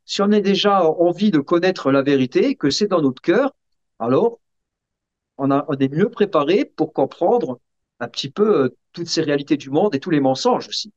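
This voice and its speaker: A man being interviewed, explaining casually in a halting way and often checking that the listener follows. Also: a little high, lively intonation, a little mellow. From Sicilian family background. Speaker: interview guest. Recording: dull, muffled lo-fi sound.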